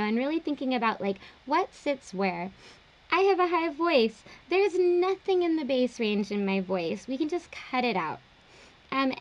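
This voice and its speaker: A young woman producer casually walking through her vocal processing in a home studio, relaxed and chatty with plenty of fillers. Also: fairly high pitch. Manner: relaxed, explanatory, uses fillers like um and like. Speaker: music producer. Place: small home studio indoors.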